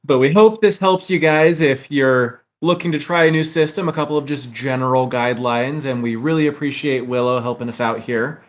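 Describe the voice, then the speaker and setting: A man's voice: mid-range voice, moderate pace, hardly any pauses. A man co-hosting a podcast, addressing the listeners in a casual, conversational way. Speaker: podcast co-host. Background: guitar music.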